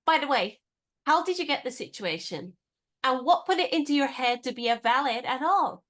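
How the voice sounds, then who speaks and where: A woman's voice: sits high, expressive, normal speed, fairly bright tone. A woman acting a cool, poised and inquisitive young woman in a period radio play. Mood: curious, brisk.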